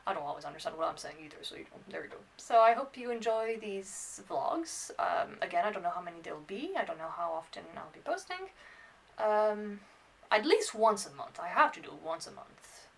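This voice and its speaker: A woman's voice: medium pitch, sing-song, fairly quick, vocal fry, breathy edge. A woman in her twenties, bilingual in French and English, chatting casually to camera in a rambling, hesitant, self-deprecating way as a student vlogger. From France and Ireland. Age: 20s. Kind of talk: casual unscripted vlog chat to camera. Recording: slightly distant microphone.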